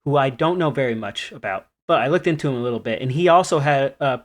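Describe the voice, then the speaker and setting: A man co-hosting a casual music podcast, talking in a relaxed way that trails off and restarts mid-sentence. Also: mid-range voice, fast. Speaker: podcast co-host.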